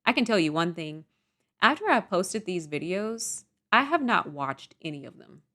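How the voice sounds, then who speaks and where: A young woman vlogger rambling softly and self-deprecatingly through a casual storytime monologue. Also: fairly low pitch, very animated, normal speed.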